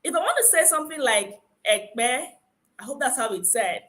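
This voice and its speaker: Nigerian woman lecturer, high bright voice, encouraging and emphatic phonics teacher. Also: expressive, normal speed. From Akwa Ibom, Nigeria. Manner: encouraging, emphatic, repeats key points. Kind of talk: conference lecture.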